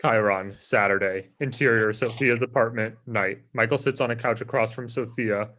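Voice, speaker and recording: A man's voice: medium pitch, fairly even intonation, normal speed, sharp tone. A man reading out stage directions in a scripted narration for a screenplay table read.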